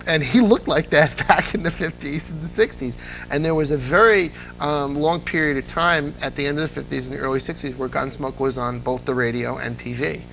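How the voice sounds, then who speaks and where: Guy in his 30s, slightly high gravelly voice, excited storyteller being interviewed, bit of hum. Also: brisk, soft background music, low-quality recording. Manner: enthusiastic, chuckles while talking, acts out quotes. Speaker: interview guest, old-time radio enthusiast. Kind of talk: animated interview chat, telling anecdotes.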